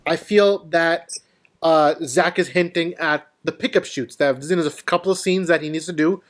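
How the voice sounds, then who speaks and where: Guy hosting a casual movie chat, kinda high voice, explains stuff then asks the panel. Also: fairly even intonation, normal speed, fairly bright tone. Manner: explanatory, poses questions to panel. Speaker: host of a film discussion show.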